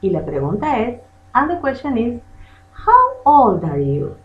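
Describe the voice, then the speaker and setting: An echoey, slightly dull recording of a native Spanish-speaking woman teaching a language lesson. She speaks slowly and deliberately with a very lively, swinging intonation, repeating phrases for learners to copy. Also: on the high side. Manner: bilingual, switches between English and Spanish, has listeners repeat; deliberate, drills phrases by repetition. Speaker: English teacher, channel host. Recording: muffled lo-fi sound in echoey room.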